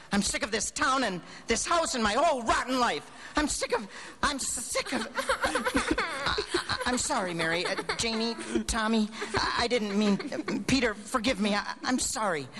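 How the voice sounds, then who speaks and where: A man's voice: high voice, sing-song, moderate pace, a crowd in the background. An actor playing a desperate, frayed family man in a live radio drama, stammering and emotional. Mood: angry, exasperated, then remorseful. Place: theater stage, live broadcast.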